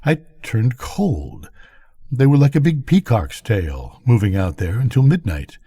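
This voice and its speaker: A man's voice: medium pitch, very animated, measured pace, mellow, slightly rough, no room sound, studio quality. A man voicing a musing, folksy, lonely lighthouse keeper in a bedtime storybook reading. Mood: musing wonder. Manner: thoughtful, folksy.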